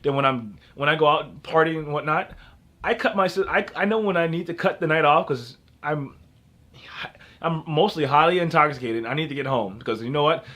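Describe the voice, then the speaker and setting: A man's voice: a little high, fairly quick, a bit bright. Earnest rambling young man vlogging. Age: early 20s. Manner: rambling, with frequent restarts and repeats; earnest, advice-giving. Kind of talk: casual motivational vlog.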